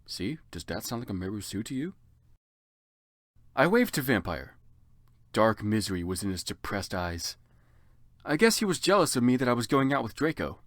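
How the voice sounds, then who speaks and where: A man's voice: mid-range voice, very animated, normal speed, pauses often, no echo, clean studio sound. A man narrating fan fiction as a comic dramatic reading, in a theatrical, exaggerated manner.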